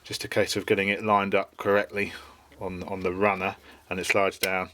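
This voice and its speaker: Low-voiced British man reviewing gear. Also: level tone, moderate pace, sharp tone, slight background noise. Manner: informal, explanatory. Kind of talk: casual hands-on product review.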